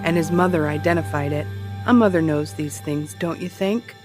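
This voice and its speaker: Woman acting a low-voiced, wry private eye. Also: normal speed, a little mellow, soft background music, lightly acted. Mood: dramatic storytelling. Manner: dry, teasing, storytelling for dramatic effect. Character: wry small-town female private eye, teasing and folksy. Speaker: private investigator being interviewed. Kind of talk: fiction podcast acting, interview style.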